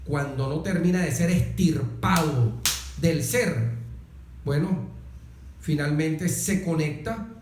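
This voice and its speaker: A man with a Venezuelan accent discussing politics earnestly as a guest, emphatic and deliberate, building rhetorical series by repeating phrases. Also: on the high side, background noise. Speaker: interview guest, political commentator. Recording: roomy, echoing sound.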